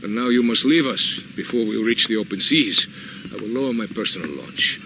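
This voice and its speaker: An actor playing a courteous older Latin American colonel in a video game, formal and gracious. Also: male voice, lowish voice, lively intonation, a little fast, music in the background, rough recording. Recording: loud engine and outdoor noise behind.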